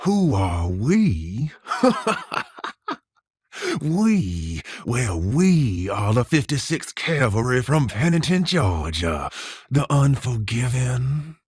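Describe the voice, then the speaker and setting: A man voicing a menacing, sardonic gunslinger villain in a video game, husky and taunting. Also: mid-range voice, big pitch swings, very slow, darkish tone, no echo, clean studio sound, exaggerated voice. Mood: taunting, boastful. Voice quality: husky, raspy. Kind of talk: dramatic video game cutscene acting.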